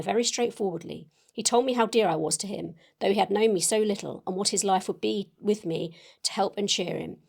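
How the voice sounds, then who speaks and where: Woman playing a gushing young Victorian lady, creaky voice. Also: medium pitch, darkish tone. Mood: excited, gushing. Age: young adult. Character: excited young Victorian lady, gushing and sentimental letter-writer. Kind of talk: dramatic reading of a letter.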